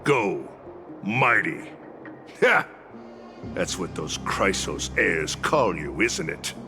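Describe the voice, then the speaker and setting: An actor playing a stern old warrior retainer in a video game, proud and scornful, with a gravelly voice and grave, formal delivery. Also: male voice, low-pitched, sing-song, pushed and bright, faint music behind, heavily acted. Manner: grave, formal, deliberate oratory. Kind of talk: dramatic video game acting.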